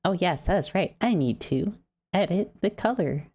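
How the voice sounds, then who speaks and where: Very low-pitched woman hosting a stream. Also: lively intonation, unhurried, darkish tone, breathy edge. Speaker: host of poetry slam stream. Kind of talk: casual livestream hosting.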